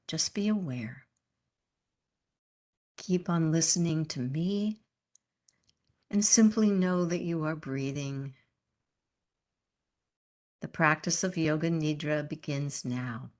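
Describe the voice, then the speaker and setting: A woman leading a guided meditation as a yoga teacher, speaking calmly and gently in unhurried phrases with pauses. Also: low-pitched.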